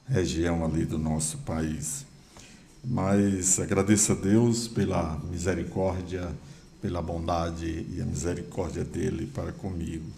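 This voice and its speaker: Deep gravelly older pastor, slow drawl. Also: male voice, warm tone, slight background noise. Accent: Northeastern Brazilian. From Bahia, Brazil. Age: 60s. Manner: folksy, unhurried, many filler pauses. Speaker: guest pastor. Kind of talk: sermon introduction, personal testimony. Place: church hall. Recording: roomy church echo.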